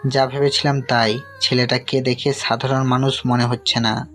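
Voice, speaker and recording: A man's voice: medium pitch, flat delivery, moderate pace, fairly bright tone, close to an everyday voice. A man acting a sceptical, reproving middle-aged father who guards family honour in an audio story. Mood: suspicious, reproving. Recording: music under the voice.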